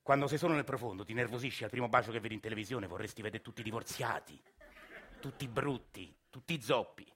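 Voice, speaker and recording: A man's voice: mid-range voice, quick pace, fairly bright tone, breathy edge. An actor playing a lonely, bitter working-class man with a Roman accent, delivering a theatre monologue that swings from muttering to sarcastic outbursts. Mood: sarcastic rant. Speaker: stage actor. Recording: live stage recording over guitar. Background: guitar music.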